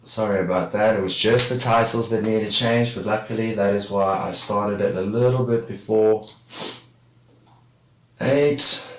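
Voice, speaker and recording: A man casually talking to his live-stream viewers while he draws. He rambles in a relaxed way and sounds a little congested from a cold. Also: fairly low pitch, a little slow. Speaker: art streamer. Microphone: streaming setup with a camera facing him. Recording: echoey room with faint background noise.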